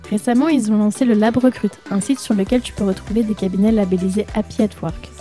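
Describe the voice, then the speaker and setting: A woman hosting a podcast, reading a lively intro and then chatting casually with her guest. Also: mid-range voice, fast, faint music behind. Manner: upbeat, chatty, uses fillers. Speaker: podcast host.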